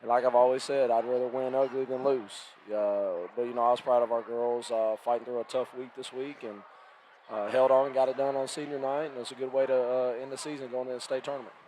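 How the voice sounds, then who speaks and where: A man coaching basketball, hoarse and raspy from illness, talking plainly with many fillers. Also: mid-range voice, flat delivery, fast talker, warm tone, slight background noise, rough recording.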